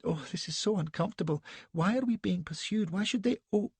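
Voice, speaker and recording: A man voicing a flustered, naive young Victorian wife who asks one anxious question after another in a high, breathless voice. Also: fairly quick, creaky, darkish tone, breathy edge, no room sound, exaggerated voice. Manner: breathless, fussy, plaintive questioning.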